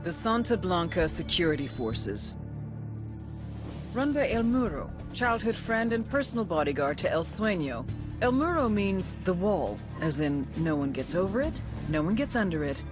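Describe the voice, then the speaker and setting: A woman's voice: on the low side, sing-song, normal speed, over music. An American woman playing a hard-edged, cynical female intelligence agent in a video game, dry and sardonic. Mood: wry briefing. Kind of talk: scripted game cutscene narration and dialogue.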